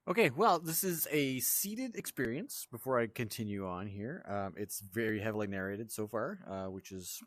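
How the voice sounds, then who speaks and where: A man's voice: fairly low pitch, animated, normal speed, few pauses, no room sound. A man hosting a gaming video with casual, off-the-cuff let's-play commentary.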